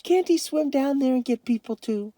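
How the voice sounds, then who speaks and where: A worried little sister in a storybook, played by a man. The voice is lifted very high and swoops as she pleads anxiously. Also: expressive, moderate pace, dark tone, no echo, clean studio sound.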